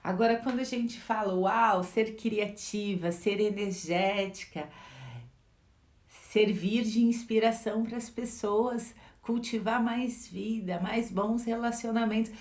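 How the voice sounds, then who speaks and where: A woman's voice: medium pitch, fairly even intonation, slow, darkish tone. A middle-aged Brazilian woman, a life educator, gives a reflective teaching talk with a Brazilian Portuguese accent, posing rhetorical questions and adding frequent tag questions. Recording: echoey room.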